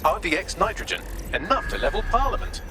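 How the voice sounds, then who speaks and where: British male AI assistant, sarcastic, unflappable, animated pitch, game dialogue, music. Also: fast. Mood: matter-of-fact. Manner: sarcastic, dry. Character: snarky, unflappable male artificial intelligence assistant. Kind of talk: video game voice acting.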